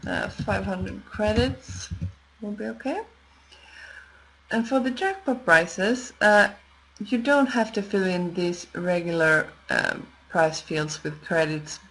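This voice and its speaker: A woman narrating an unscripted step-by-step screen tutorial, explaining in a measured way with pauses as she works. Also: lowish voice, low-quality recording. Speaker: software tutorial presenter.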